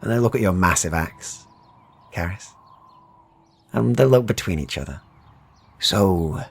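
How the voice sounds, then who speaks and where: A man narrating a tabletop fantasy game as game master, descriptive and measured, with pauses. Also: lowish voice, expressive, gravelly, slightly rough.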